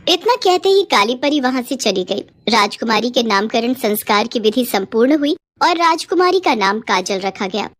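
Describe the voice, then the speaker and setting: A woman's voice: a little high, sing-song, moderate pace, few pauses, fairly bright tone. A woman reading a scripted children's moral story as narrator.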